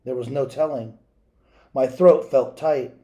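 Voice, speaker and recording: A man reading a children's picture book aloud as a storyteller, in a measured, dramatic way with pauses and asides to listeners. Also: mid-range voice, dark tone.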